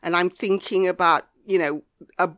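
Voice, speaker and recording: A woman's voice: lowish voice, steady tone, moderate pace, a bit bright, no echo. An older woman from Devon, born in Ghana, reminiscing casually as an author interviewed in a small studio. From Devon, England, born in Ghana. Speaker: author, podcast guest. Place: small homemade recording studio.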